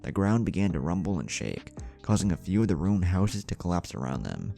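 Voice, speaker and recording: A man's voice: deep voice, very animated, brisk, creaky, mellow. A man reads a dramatic scripted story as its narrator, with music behind him. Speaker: fan fiction narrator.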